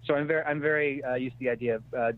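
A man's voice: mid-range voice, fairly even intonation, very fast, no echo. A man answering interview questions as an actor-writer in a candid, conversational way, often backtracking as he goes. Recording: thin phone-like radio sound.

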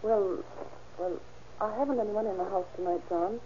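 An actress playing a patient, worried, long-suffering wife in a placating manner, in the style of 1930s radio drama. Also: female voice, mid-range voice, a little fast, dark tone, natural voice. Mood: gentle, puzzled. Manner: placating, concerned. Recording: muffled, noisy old radio recording.

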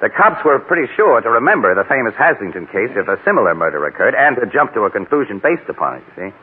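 An American actor playing a shrewd, confident news photographer detective, brisk and wry, in old radio crime drama style on an old recording. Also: male voice, mid-range voice, big pitch swings, husky, narrow, tinny audio. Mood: knowing, probing.

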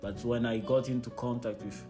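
A young Congolese man speaking English as a second language, Swahili his first, talking softly in a casual interview with frequent restarts. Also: mid-range voice, steady tone. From Democratic Republic of the Congo. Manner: soft-spoken, halting, with frequent restarts and laughs. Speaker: missionary team member, guest. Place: house in a neighbourhood. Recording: music in the background.

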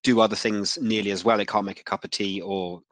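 A man hosting a casual interview, speaking in a halting way and often repeating words as he frames questions. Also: fairly low pitch, steady tone, a bit bright, dry recording. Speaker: interviewer, podcast host.

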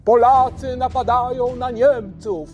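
A woman's voice: a little high, fairly even intonation, a little slow, a little mellow, highs cut off, soft background music, lightly acted. A woman voicing a propaganda announcer in a history story for children, proclaiming emphatically. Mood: alarmed proclamation. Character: Nazi propaganda announcer proclaiming a false alarm.

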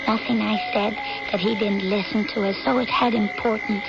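An actress playing a devoted, needy young daughter in a radio drama, earnest, dreamy and intimate. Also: female voice, medium pitch, fairly even intonation, normal speed, gravelly, loud music behind the voice, natural voice. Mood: wistful. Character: devoted, needy schoolgirl daughter narrating her memories. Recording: noisy, dull old-time radio recording.

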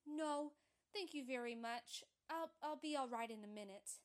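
A woman's voice: high-pitched, normal speed, close to an everyday voice. A woman playing a troubled, reticent young telephone operator in an old-time radio drama, polite and hesitant. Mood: subdued, unwell.

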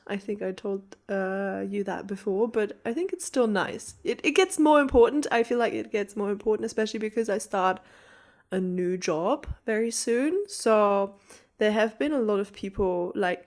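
A young woman co-hosting a podcast, chatting casually with her co-host and laughing often. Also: medium pitch. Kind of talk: casual chat.

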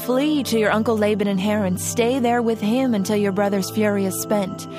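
A woman's voice: medium pitch, moderate pace, over music, lightly acted. An actress playing a protective, strong-willed biblical mother, earnest and emphatic, in an audio drama. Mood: urgent. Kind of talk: dramatized audio Bible acting.

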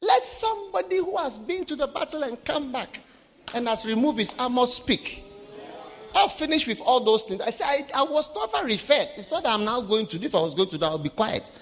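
A man from Africa preaching a sermon, swinging between shouted lines and low, quiet asides. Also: big pitch swings, fairly quick. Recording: live sermon with long hall reverb.

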